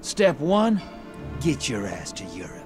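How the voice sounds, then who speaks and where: Shady game rogue, lively swooping delivery, folksy slang, sly swagger, music behind. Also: male voice, mid-range voice, takes it slowly. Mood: chummy, confiding. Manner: drawling slangy swagger, conspiratorial. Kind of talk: video game character dialogue.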